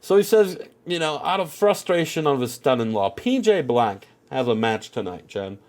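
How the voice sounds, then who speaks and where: A man's voice: mid-range voice, very animated, normal speed, darkish tone. A man with a stuffed-up nose giving casual, rambling commentary on a wrestling game, full of filler phrases. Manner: rambling, heavy on "you know" and "at the end of the day".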